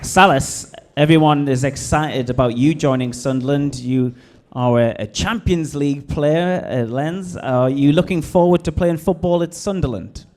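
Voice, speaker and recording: A man's voice: fairly high pitch, moderate pace, few pauses, darkish tone. A man hosting a live stage Q&A with footballers, jokey and teasing. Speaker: event host.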